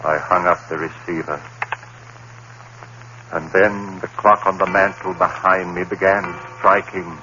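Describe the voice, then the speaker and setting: An actor playing a frightened, reason-bound professor in a 1940s-style radio play, narrating in a dramatic, brooding way. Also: male voice, deep voice, flat delivery, measured pace, long pauses, pushed and bright. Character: haunted male professor, rational and frightened. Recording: dull old radio broadcast with faint hiss.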